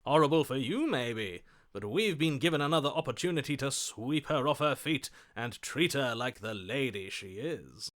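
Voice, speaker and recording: An actor voicing a lovestruck, chivalrous romantic in a video game, with florid, ardent delivery. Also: male voice, medium pitch, expressive, moderate pace, hardly any pauses, a bit bright, lightly acted. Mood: ardent, grandiose. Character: lovestruck, theatrical romantic hero, grandiose and chivalrous.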